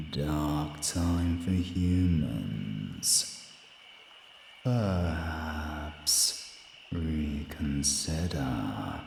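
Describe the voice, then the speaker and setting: A man playing a coldly menacing, condescending male vampire in a cinematic audio roleplay, speaking deliberately and tauntingly. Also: very deep voice, creaky, a little mellow, full character voice. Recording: long cavernous reverb, background noise.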